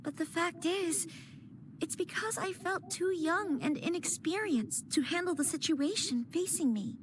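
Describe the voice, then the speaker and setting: A woman's voice: very high voice, a little slow, a little hiss or hum. An actress playing an earnest young noblewoman who speaks formally as she steps up to lead her clan, in video game dialogue.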